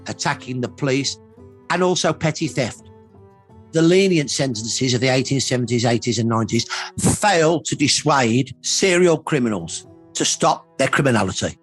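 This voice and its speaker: A man's voice: mid-range voice, animated, moderate pace, breathy edge. A man giving an emphatic, earnest expert account of history in a documentary interview. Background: background music.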